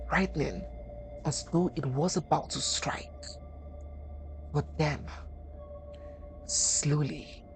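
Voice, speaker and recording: A woman narrating a scripted folktale as host, dramatic and deliberate, phrase by phrase. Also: low-pitched, low-quality recording. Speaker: storyteller and channel host.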